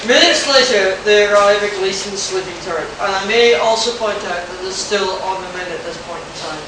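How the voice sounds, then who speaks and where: Very high-voiced theatrical male narrator, echoey hall. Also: a little fast. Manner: theatrical, florid storytelling. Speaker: narrator of a radio play. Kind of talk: dramatic scripted narration, read live. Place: convention hall stage. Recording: echoey hall, distant mic, background noise.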